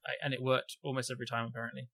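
Guy co-hosting a podcast, bright forward voice, mid pitch, loose slangy chat. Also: little pitch movement, rapid-fire. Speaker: podcast co-host. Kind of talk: casual chat.

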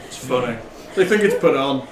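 A man's voice: mid-range voice, lively intonation, normal speed. A man with an English accent who jokes around during a tabletop game. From England. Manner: joking, playful. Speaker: player. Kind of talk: casual chat at a tabletop game. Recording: echoey room with crowd chatter.

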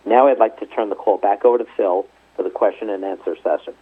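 A man's voice: on the low side, quick pace, slightly rough. A man formally reading out a scripted financial report as a company executive, going through figures methodically. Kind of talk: scripted earnings report read aloud. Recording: muffled narrow phone conference line.

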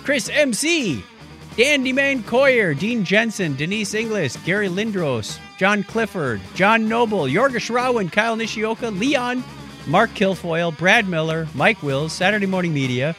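A man with a lively, swooping delivery and a slightly high voice hosts a casual podcast. He talks in a playful, joking manner, with music faintly behind him. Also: unhurried. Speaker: podcast host. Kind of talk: casual co-hosted podcast chat.

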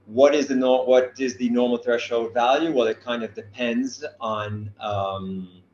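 A man's voice: medium pitch, fairly even intonation, a little slow, darkish tone, slight background noise. A middle-aged man giving an academic lecture, hesitant with many filler sounds and a dry sense of humor. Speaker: guest lecturer, physician. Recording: roomy, dull-sounding call audio.

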